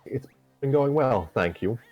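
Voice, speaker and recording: A man voicing a guarded young college woman in a story reading, curtly polite and quietly protective. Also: mid-range voice, expressive, unhurried, lo-fi sound, faint music behind.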